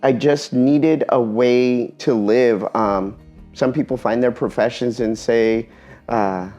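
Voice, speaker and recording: A man giving a personal talk in an earnest, reflective way, pausing often between phrases. Spanish is his first language. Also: mid-range voice, fairly even intonation, darkish tone, soft background music. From Eastern Washington, USA.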